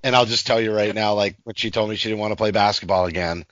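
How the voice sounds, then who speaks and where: A man's voice: fairly low pitch, fairly even intonation, fast talker, a bit bright, no room sound. A middle-aged man hosting a sports podcast in casual chat, recorded with a dry, slightly lo-fi sound.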